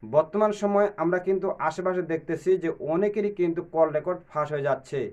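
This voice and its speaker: A man's voice: sits high, fast, a little mellow, dull audio. A man hosting a step-by-step phone tutorial in a repetitive instructional way. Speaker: tech tutorial host.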